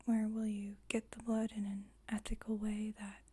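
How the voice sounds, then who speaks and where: A woman playing a composed, formal vampire coven leader in an ASMR roleplay, whispering softly in a measured, instructive, gently wry way. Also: mid-range voice, monotone, close to an everyday voice. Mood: wryly instructive. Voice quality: breathy, whispered, soft.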